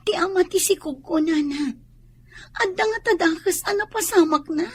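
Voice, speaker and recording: A very high-voiced, anxious young woman. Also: moderate pace. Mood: uneasy. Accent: Ilocano. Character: anxious pregnant young woman, soldier's sweetheart. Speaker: radio drama actor. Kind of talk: Ilocano radio drama acting.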